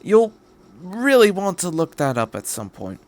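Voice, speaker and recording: A man voicing a quirky, cheerful, curious time-traveling adventurer in a story reading, with a brisk, rambling delivery. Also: high-pitched, big pitch swings, darkish tone, voice pushed up, heavily acted. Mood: curious, probing. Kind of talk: audiobook-style story reading.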